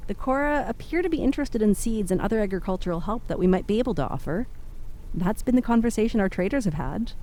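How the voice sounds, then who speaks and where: A woman's voice: mid-range voice, mellow, natural voice. A woman playing an earnest, methodical research officer giving a matter-of-fact briefing in a science fiction audio drama.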